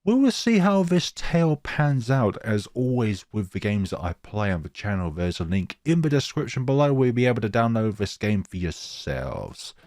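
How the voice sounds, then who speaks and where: A man's voice: on the low side, sing-song, moderate pace, few pauses, darkish tone, no room sound, studio quality. A man hosting a gaming let's play, reading story text aloud dramatically and dropping in casual asides. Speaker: gaming channel host.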